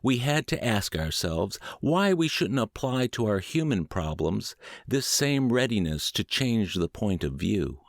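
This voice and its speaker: A man reading a book aloud as a scripted audiobook narration, with measured, emphatic and deliberate phrasing. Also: lowish voice, sing-song, dry recording, clean studio sound. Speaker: audiobook narrator and podcast host.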